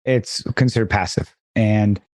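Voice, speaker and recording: A man's voice: on the low side, fairly even intonation, unhurried, a little mellow, no echo. A man co-hosting a podcast, his voice a little froggy, explaining things step by step with plain fillers. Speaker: podcast co-host.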